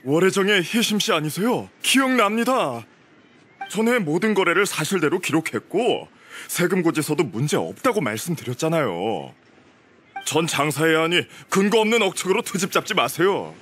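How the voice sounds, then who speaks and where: High-voiced, blustering shifty shopkeeper. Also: male voice, sing-song, normal speed, fairly bright tone, a little hiss or hum. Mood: dismissive, defensive. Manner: stammering, flustered, indignant. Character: shifty male shop owner, defensive and blustering. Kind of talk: video game voice acting.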